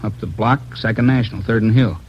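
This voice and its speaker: An actor playing a panicky crook turned informant, muttering in short broken bursts in 1940s radio style. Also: male voice, lowish voice, steady tone, brisk, slight background noise, lightly acted. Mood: agitated, fatalistic. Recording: muffled vintage radio recording, music behind.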